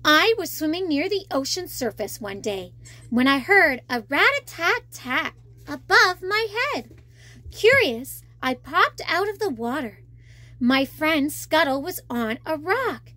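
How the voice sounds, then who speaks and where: Female children's storyteller, high voice, slow and very expressive reading. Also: no echo.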